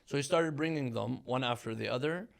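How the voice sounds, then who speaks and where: A man in his thirties, born in Iran, a religious scholar speaking as a guest in a relaxed interview with a measured, thoughtful manner. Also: mid-range voice, vocal fry. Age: 30s. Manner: measured, thoughtful storytelling with fillers. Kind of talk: conversational interview.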